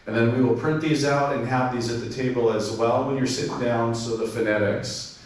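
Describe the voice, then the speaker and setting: A man's voice: medium pitch, fairly even intonation, moderate pace. A man giving an informal club presentation, explaining steps and spelling call signs in radio phonetics, with frequent fillers. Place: club meeting room. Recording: very echoey room, distant mic, faint noise.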